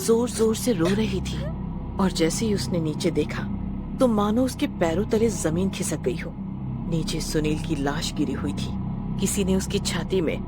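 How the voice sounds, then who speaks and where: A woman's voice: on the low side, a little slow. A woman narrating a scripted horror story in a dramatic storytelling style. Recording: loud music bed behind voice. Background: loud music.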